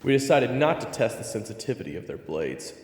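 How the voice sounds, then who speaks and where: A man plays a hot-headed, loyal swordsman telling his own fantasy tale. His creaky, dramatic voice moves widely in pitch, set in a long reverb. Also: very animated, a little fast, darkish tone. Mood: grim narration. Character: Xander, hot-headed, loyal male hook-handed swordsman narrator. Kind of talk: first-person fantasy story narration. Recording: echoey, reverberant, music underneath.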